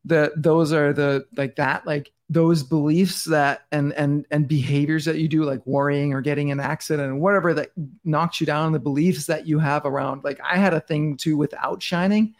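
A man's voice: on the high side, steady tone, moderate pace. A middle-aged man from Denmark, a podcast guest talking casually and intensely, restarting his sentences often. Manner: intense, rambling, many restarts and "like". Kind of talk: casual interview talk.

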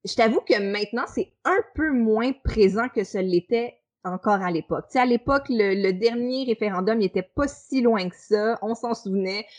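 A woman in her thirties speaks with a Québécois accent, relaxed and chatty. She tells her story with frequent hesitations and small laughs, as a podcast guest recounting an old memory. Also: on the high side, moderate pace, few pauses. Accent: Québécois French. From Quebec. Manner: hesitant, frequent "euh" fillers, laughs between phrases. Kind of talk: casual storytelling.

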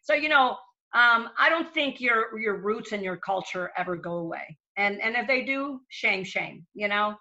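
A woman's voice: fairly high pitch, expressive, normal speed, bright, forceful tone. A middle-aged woman from Venezuela, speaking earnestly as a panel guest and repeating words as she starts sentences. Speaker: guest, lender to small businesses. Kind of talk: panel discussion. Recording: dull lo-fi sound.

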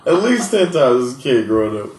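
An American man from Miami with a fairly high voice, telling stories in a casual podcast chat, laughing often and swearing freely. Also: big pitch swings, fairly quick, a little mellow, reverberant. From Miami, USA. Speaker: podcast co-host.

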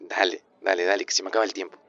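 An actor playing an affectionate, coaxing groom in an audio drama, speaking gently in an Argentine accent. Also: male voice, low voice, little pitch movement, rapid-fire, no echo, heavily acted. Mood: warmly teasing. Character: affectionate, coaxing groom talking privately to old friend. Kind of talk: naturalistic audio fiction acting. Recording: dull walkie-talkie style sound.

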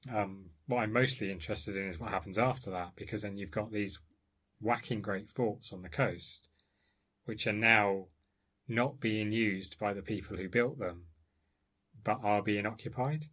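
A man's voice: lowish voice, measured pace, fairly bright tone. A man talking as an archaeologist in an informal academic discussion, explaining his research in a discursive, carefully qualified way.

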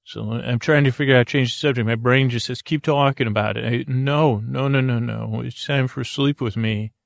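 A man hosting a bedtime podcast, rambling softly off on tangents in lulling, creaky, dulcet tones. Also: mid-range voice, steady tone, moderate pace, no room sound, clean studio sound. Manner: meandering, tangent-prone, self-interrupting, drowsy and soft. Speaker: podcast host.

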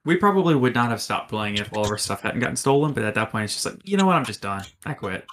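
A man's voice: mid-range voice, big pitch swings, fast talker, a bit bright, a little hiss or hum. A man in his mid-twenties hosting a podcast in a casual, teasing, bantering manner. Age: mid-20s. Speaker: podcast host. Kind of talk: casual chat.

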